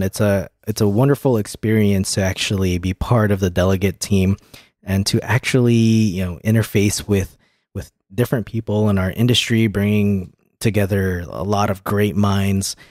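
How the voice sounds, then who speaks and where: A man's voice: lowish voice, steady tone, normal speed, darkish tone, no room sound, clean studio sound. A man hosting a podcast, chatting casually and explaining things about tech. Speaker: podcast host.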